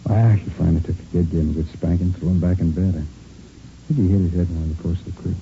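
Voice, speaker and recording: A low, very dark-voiced American heel in a 1950s radio crime drama, callous and irritable as he brushes off police. He talks quickly and defensively on a dull old recording. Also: fairly even intonation, slight background noise. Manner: defensive, dismissive. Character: slick, callous, irritable kept boyfriend under police questioning.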